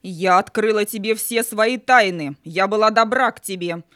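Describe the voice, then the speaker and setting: A woman's voice: medium pitch, moderate pace, a bit bright, no echo, clean studio sound, natural voice. A woman playing Night, a proud and wrathful female spirit, solemn and menacing in a children's audio play. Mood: wrathful, cold. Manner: solemn, menacing, grandly reproachful.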